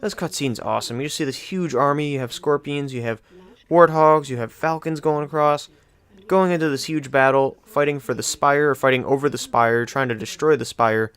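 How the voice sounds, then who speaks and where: A man's voice: medium pitch, moderate pace, fairly bright tone, smooth. A young adult man hosting a video game countdown, talking in an informal, rambling, conversational way. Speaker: video game list host. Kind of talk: casual countdown commentary.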